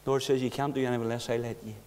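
A middle-aged man preaching a sermon behind a pulpit, earnest, with rhetorical questions and hushed passages. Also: medium pitch, level tone, rapid-fire, a little hiss or hum. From Northern Ireland. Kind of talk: sermon with personal story. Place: church hall behind a wooden pulpit. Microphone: pulpit mic from a far camera. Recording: echoey church hall.

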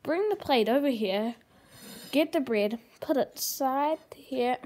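Woman host of a homemade puppet cooking show: high, unhurried, hesitant delivery, recorded close on a handheld camera at the kitchen table. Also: lively intonation, a little mellow. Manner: hesitant, halting, lots of ums. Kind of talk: improvised puppet comedy.

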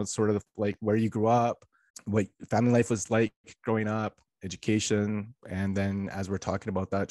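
Upbeat podcast host, deep dry voice. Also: male voice, darkish tone, no room sound. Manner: friendly, upbeat introductions. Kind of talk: podcast interview. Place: home kitchen.

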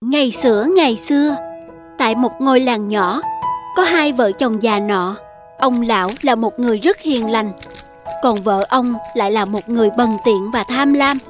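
A woman's voice: on the high side, moderate pace. A woman narrating a scripted fairy tale for children as a storyteller. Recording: music behind the voice.

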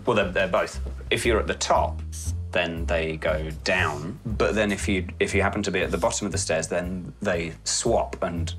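An actor with a British accent playing an awkward, earnest man with a hesitant deadpan manner in screen comedy. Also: low-pitched, normal speed, soft background music. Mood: pedantic.

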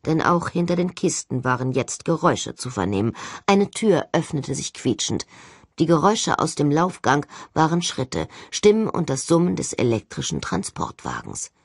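A woman's voice: low voice, sing-song, moderate pace, slightly rough, dry recording. A woman reading scripted first-person audiobook narration as the narrator, recorded close.

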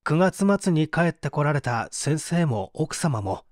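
A man's voice: a little high, measured pace, no echo, clean studio sound. A man reading dramatically as a bitter, despairing painter who gives testimony in a formal, confessional way. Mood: earnest, troubled. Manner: confessional, expressive, formal narrative delivery.

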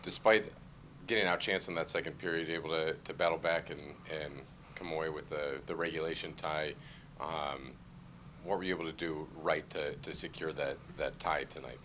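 A man's voice: a bass voice, fairly even intonation, normal speed, sharp tone, a little hiss or hum. A man asking hesitant questions at a press conference, with many fillers. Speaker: reporter.

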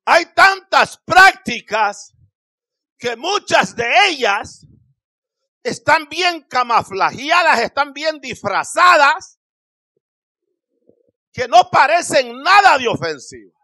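A middle-aged male preacher delivers a sermon into a handheld mic in a small church hall. His voice is bright and forceful and moves slowly, stretching each phrase. His pitch swings widely and he breaks into shouts for emphasis. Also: sing-song. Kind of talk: Spanish-language Pentecostal sermon. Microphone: handheld microphone.

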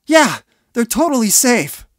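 A woman's voice: on the high side, very animated, unhurried, dry recording, studio quality. A woman playing a cocky, bossy teenage older brother in a fan audio drama. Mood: tense.

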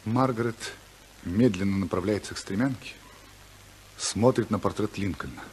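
Male narrator, lowish voice, vintage scripted radio drama, slight hiss. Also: moderate pace, darkish tone, rough recording. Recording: faintly hissy old radio recording.

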